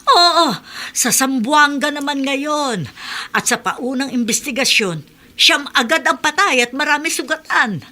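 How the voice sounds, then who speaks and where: Chatty mother, bright tone, slightly high, fussy and gossipy, radio drama. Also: expressive, unhurried, heavily acted. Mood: fussing. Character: fussing middle-aged mother.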